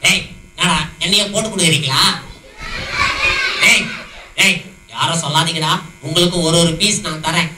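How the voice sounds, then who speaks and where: A woman's voice: sits low, normal speed, long pauses, over a noisy crowd, lightly acted. A woman voicing a naughty boy puppet who teases and laughs like a cheeky thief, in an echoey hall with background noise. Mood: taunting. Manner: teasing, mischievous, laughing. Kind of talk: children's puppet show. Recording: echoey hall, far mic, noisy.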